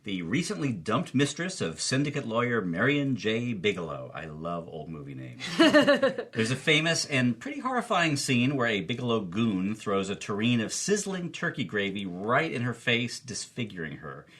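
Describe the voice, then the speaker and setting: A man's voice: medium pitch, wildly swooping pitch, normal speed, a bit bright, breathy edge. A man co-hosting a podcast, giving film commentary in an emphatic, enthusiastic way.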